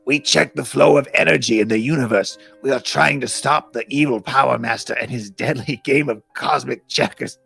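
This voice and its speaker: A precise, courteous alien ant commander from a storybook, as acted out by a man. The voice is gravelly and mid-pitched, with careful, clipped, orderly phrasing over music. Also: moderate pace, few pauses, fairly bright tone, heavily acted. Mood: formal, explanatory. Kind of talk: storybook character reading.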